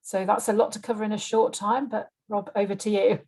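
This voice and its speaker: A woman's voice: medium pitch, level tone, a little fast, warm tone. A woman chairing an online event, introducing a speaker informally, partly from prepared notes. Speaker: webinar chair. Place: video call.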